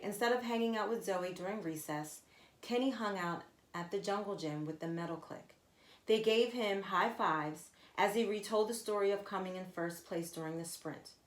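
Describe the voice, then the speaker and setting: A woman reading a children's story aloud expressively, with deliberate emphasis and asides to her listeners. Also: medium pitch. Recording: echoey, roomy sound.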